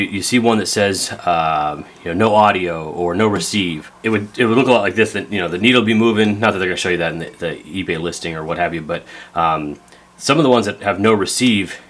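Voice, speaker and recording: Low-voiced man, folksy and rambling. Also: fairly bright tone. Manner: rambling, folksy, laid-back. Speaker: host of a radio repair video. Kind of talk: casual hands-on repair vlog.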